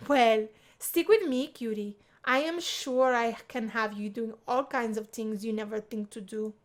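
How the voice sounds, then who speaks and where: A woman's voice: on the high side, measured pace, natural voice. Visual novel role: playful female prankster acted by a woman, confident flirt who teases. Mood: teasing, flirty. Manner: teasing and confident. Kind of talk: visual novel character voicing.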